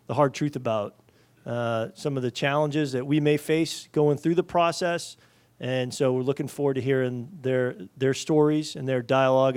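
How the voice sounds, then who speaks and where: A man's voice: medium pitch, a little mellow, smooth. A man moderating a conference panel, talking loosely with many fillers and some military turns of phrase. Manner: loose, filler-heavy delivery with military metaphors. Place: conference panel in front of an audience.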